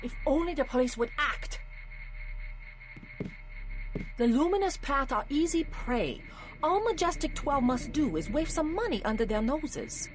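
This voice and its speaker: Sly game villainess, animated intonation, poised, measured, persuasive, faint music behind. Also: female voice, sits high. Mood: guarded, brooding. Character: sly, poised female Hong Kong politician, secretive schemer. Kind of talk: video game voice acting.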